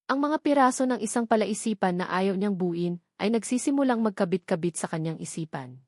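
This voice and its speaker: A woman narrates a dramatic tale in a medium-pitched voice. Her delivery is measured and made for storytelling. The recording is dry and free of room echo. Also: expressive, darkish tone.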